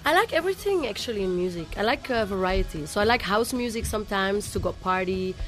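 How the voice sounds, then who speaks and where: A young Belgian woman talks casually as an interview guest. Her voice is medium-pitched with a Dutch accent from her first language, and faint music plays behind her. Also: expressive, fast, fairly bright tone. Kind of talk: casual chat.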